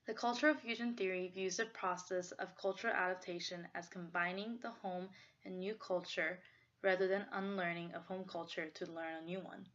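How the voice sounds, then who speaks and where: A woman's voice: fairly low pitch, steady tone, moderate pace, a bit bright. Young woman reading an earnest essay. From South Korea. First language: Korean. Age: young adult. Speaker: student presenter. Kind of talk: reading a prepared personal essay. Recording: dull lo-fi recording.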